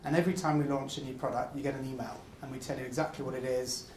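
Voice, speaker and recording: A man's voice: mid-range voice, steady tone, racing, darkish tone, roomy sound, a little hiss or hum, low-quality recording. A man representing a building society, answering an audience question courteously and encouraging people to sign up.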